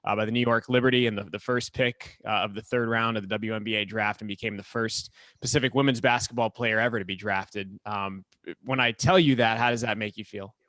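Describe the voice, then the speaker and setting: Fast-talking male interview host. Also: lowish voice, fairly even intonation, a bit bright, no echo.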